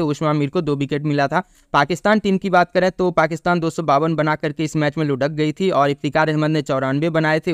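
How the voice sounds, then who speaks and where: A man giving a cricket pitch report and match preview, reading out scores steadily with brief restarts. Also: smooth, no echo, clean studio sound. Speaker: cricket analyst.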